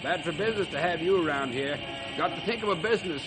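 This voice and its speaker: An actor playing a brisk, blunt, folksy small-town gas station owner in old-time radio style. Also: male voice, a little high, animated, over music, natural voice. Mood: suspicious, dismissive. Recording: noisy old radio recording.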